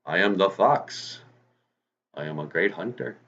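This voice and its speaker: A man voicing a proud, boastful male fox hunter who is easily fooled, exclaiming loudly. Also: low voice, expressive, unhurried, full character voice. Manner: loud, blustering, exclaiming. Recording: dull lo-fi recording.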